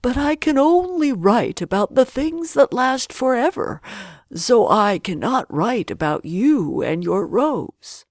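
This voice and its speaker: A woman voicing a dreamy old male geographer in a children's storybook, with drawn-out, breathy, wondering speech. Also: a little high, very animated, darkish tone, dry recording, clean studio sound, pitched above the performer's own voice, full character voice. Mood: wondering, regretful. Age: older.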